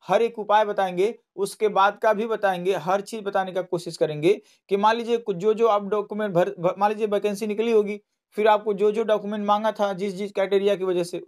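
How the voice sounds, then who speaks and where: A man giving an informal explainer to students, repeating himself and reassuring them as he goes. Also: high-pitched. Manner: repetitive, reassuring. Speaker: online exam-update presenter.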